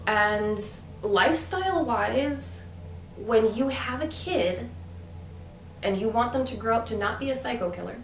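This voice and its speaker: A woman's voice: fairly high pitch, unhurried. Woman rambling on camera, lots of um and like, kinda far from the mic w faint background hum. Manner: chatty, many fillers and restarts. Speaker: vlogger. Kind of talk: casual storytelling vlog. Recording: echoey room from a distance with faint ambience.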